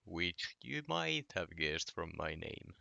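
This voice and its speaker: A man's voice: deep voice, takes it slowly, creaky, dry recording, lo-fi sound. A young man from Finland with a Finnish accent, chatting casually on a late-night gaming stream while answering his chat. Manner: relaxed, reading chat aloud and answering.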